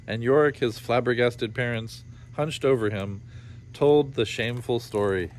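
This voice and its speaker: A man reading a storybook aloud with expressive narration. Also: medium pitch, measured pace, a little hiss or hum. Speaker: storybook reader.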